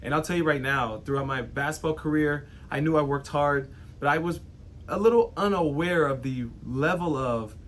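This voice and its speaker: Earnest young man, fairly high voice. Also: normal speed, fairly bright tone. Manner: heartfelt, emphatic, many fillers like "you know" and "right". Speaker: host. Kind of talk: earnest motivational monologue.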